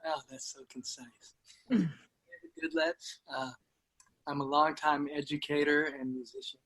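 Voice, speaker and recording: A man's voice: on the high side, wildly swooping pitch, measured pace, creaky, fairly bright tone, no room sound, low-quality recording. A middle-aged man talking casually as a co-host and introducing himself. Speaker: co-host, educator and musician.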